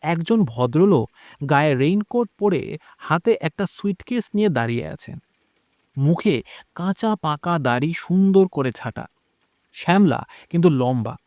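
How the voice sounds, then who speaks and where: A man narrating a scripted horror story in a dramatic, expressive storytelling manner. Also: fairly high pitch, unhurried, long pauses, dry recording, clean studio sound. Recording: music behind the voice. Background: background music.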